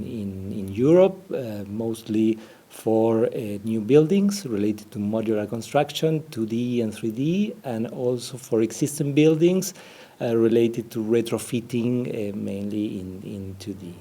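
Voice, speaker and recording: A man's voice: on the low side, warm tone. A man from Argentina with an Argentine Spanish accent, a business developer answering interview questions, often searching for words.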